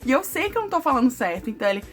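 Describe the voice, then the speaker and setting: A woman's voice: high voice, sing-song, racing, faint music behind. A young Brazilian woman with a Brazilian Portuguese accent, chatting casually to her viewers, often adding "né" and "sabe". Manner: chatty, addresses viewers as "gente", frequent "né" and "sabe". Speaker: vlogger. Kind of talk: casual chat to camera.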